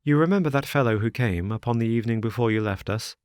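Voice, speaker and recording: A man voicing an anxious, careworn young gentleman who speaks in an urgent, distressed way. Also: mid-range voice, fast talker, clear, resonant tone, dry recording, studio quality, pitched above the performer's own voice, natural voice.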